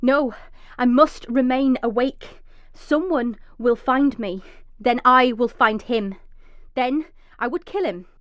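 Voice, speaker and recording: High-pitched, echoey desperate trapped mother. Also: takes it slowly. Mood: furious, vengeful. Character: desperate, vengeful young mother trapped underground, fiercely protective. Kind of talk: dramatic first-person radio drama monologue. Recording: echoey, slightly dull recording.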